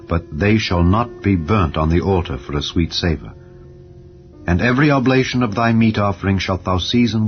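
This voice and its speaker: A man with a very low voice reads scripture slowly and solemnly. The audio is a little dull and muffled, with soft music drifting underneath. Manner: slow, measured, solemn. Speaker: Bible narrator. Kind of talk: dramatized scripture reading. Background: soft ambient music.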